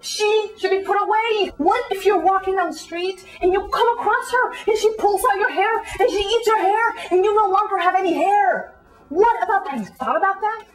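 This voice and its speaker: A very high-voiced, judgmental woman played by a female monologist holds forth in a comic monologue. She is indignant and condescending, firing off dramatic rhetorical questions with open scorn. Music is part of the recording. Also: low-quality recording. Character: judgmental, scornful woman, switching between English and Spanish.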